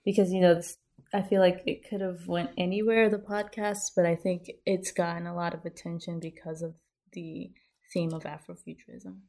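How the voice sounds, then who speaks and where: A woman's voice: on the low side, little pitch movement, normal speed, warm tone. A young woman talking casually as a guest in an interview. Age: young adult. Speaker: guest, podcast co-creator.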